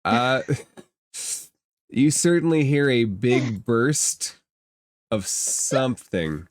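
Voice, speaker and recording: Mid-pitched man running a tabletop game. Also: lively intonation, very slow, no room sound, clean studio sound. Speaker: game master. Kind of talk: narrating a tabletop game casually.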